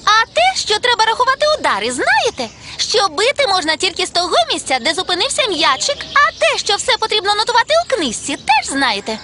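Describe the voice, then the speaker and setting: A woman's voice: extremely high pitch, big pitch swings, brisk, hardly any pauses, very noisy. A woman voicing a prim, assertive little girl fruit character in a cartoon, speaking like a bossy know-it-all. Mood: self-assured. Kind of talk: animated cartoon dialogue. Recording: loud music behind.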